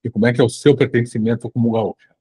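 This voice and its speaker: A man's voice: medium pitch, steady tone, fast talker, mellow, husky, no echo. A man with a Brazilian accent hosting a casual interview, circling into his questions with repeated starts. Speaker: interviewer, host.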